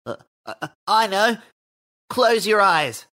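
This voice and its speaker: A woman's voice: mid-range voice, sing-song, slow pace, fairly bright tone. A woman voicing a shy, inventive young schoolboy in a children's audio story, speaking timidly and hesitantly.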